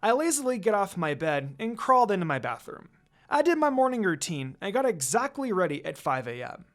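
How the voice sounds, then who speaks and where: A fanfiction reader reading a story aloud in an expressive storytelling manner. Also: medium pitch, a little fast.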